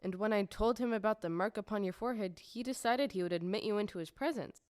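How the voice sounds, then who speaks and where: An officious little gatekeeper of a magical city, played by a woman in an audio play. The speech is formal, ceremonious and emphatic, at a middling pitch in a dry recording. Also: fast talker, a little mellow, no echo, lightly acted. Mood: confiding, formal. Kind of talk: stage-style audio drama acting.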